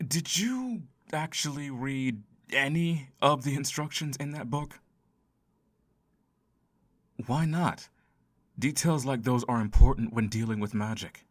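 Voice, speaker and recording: A man's voice: medium pitch, moderate pace, no echo, close to an everyday voice. A man voicing a sardonic, seductive male incubus in an ASMR roleplay, theatrical and dryly sarcastic. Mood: incredulous, exasperated. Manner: theatrical, sighing, dry sarcasm.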